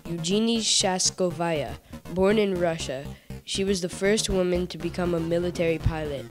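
A woman reading narration aloud from a paper list at a table, in a steady, plain manner. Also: lowish voice, moderate pace, faint music behind.

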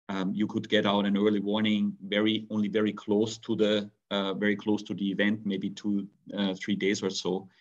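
A man in his forties from Austria, a native German speaker, speaking on a webinar video call with many restarts and fillers. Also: fairly low pitch. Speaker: guest speaker. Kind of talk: webinar talk. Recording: dull lo-fi video call audio.

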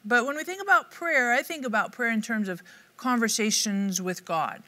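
A middle-aged woman speaking to camera with a bright, forward voice. Her delivery is upbeat and conversational. She teaches like a devotional host. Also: a little high, normal speed. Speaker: Bible teacher. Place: dark studio set, indoors.

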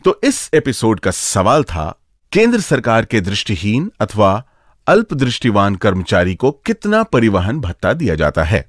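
Animated male radio host, dry close sound. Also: fairly low pitch, unhurried, no room sound. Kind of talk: scripted radio presenting with playful asides.

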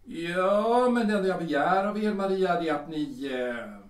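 A man's voice: sits high, expressive. A man acting a smug, lecherous café owner in a Swedish audio drama, oily and hesitant, often repeating himself. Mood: insinuating. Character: smug, lecherous male Viennese café owner, haggling and menacing. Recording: roomy, distant from the mic.

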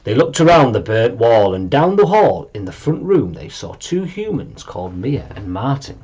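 A man around his forties narrating a children's story in an animated, deliberate way. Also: mid-range voice, dull audio, a little hiss or hum. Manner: expressive, deliberate. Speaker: storybook reader. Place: small room at home, indoors.

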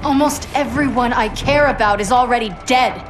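A woman's voice: a little high, normal speed, over music, natural voice. An actress playing a tough, defiant young woman soldier in a video game, blunt and fierce. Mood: grim, determined. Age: young adult. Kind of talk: video game cutscene acting.

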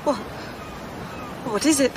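A woman's voice: takes it slowly, over a noisy crowd, close to an everyday voice. An actress playing a frightened, despairing accused woman in a radio play, fragile and hesitant. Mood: fearful, weary. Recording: loud outdoor background noise.

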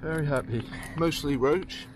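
A man's voice: a little high, normal speed. A man chatting casually with a friend while fishing outdoors. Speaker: fishing companion. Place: outdoors by a riverside mooring. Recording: outdoor camera audio with background noise.

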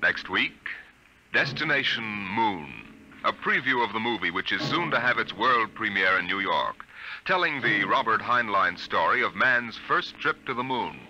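A man's voice: fairly low pitch, animated, moderate pace, sharp tone. A man reading a scripted 1950s radio promo as an announcer. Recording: faintly noisy vintage radio.

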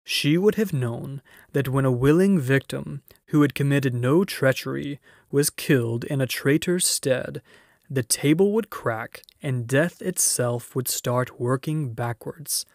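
A man acts out a mighty storybook lion. He is majestic and joyful, and his delivery is grand, emphatic and unhurried. The sound is close and dry. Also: medium pitch, gravelly, dry recording. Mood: solemn, grand. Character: great noble male lion, majestic and joyful. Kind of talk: storybook character voice.

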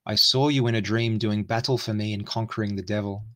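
A man's voice: on the low side, steady tone, a little fast, fairly bright tone, lightly acted. A man reading the part of a wretched young penitent tormented by demons, in earnest, formal words. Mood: humble.

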